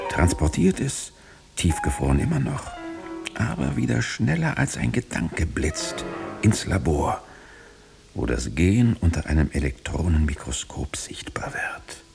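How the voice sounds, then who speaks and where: An eerie, suggestive radio-play figure in a very deep, creaky voice. He speaks in slow, halting phrases with theatrical weight, often sinking towards a whisper. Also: full character voice. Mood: intense, hushed. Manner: dramatic, halting delivery dropping into whispers. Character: eerie man describing a futuristic gene laboratory, suggestive, theatrical. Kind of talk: German radio drama acting.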